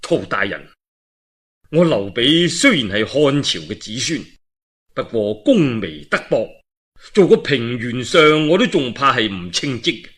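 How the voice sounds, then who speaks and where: Man playing an earnest, upright warlord, animated husky voice. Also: mid-range voice, a little slow. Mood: humble protest. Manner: earnest, formal. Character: humble, upright warlord, courteous and righteous.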